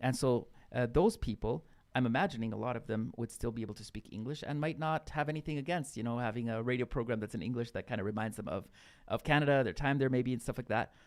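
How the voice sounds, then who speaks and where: A man's voice: mid-range voice, quick pace. A man co-hosting a casual radio podcast, talking loosely with lots of fillers and restarts. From Brazil. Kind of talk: casual chat.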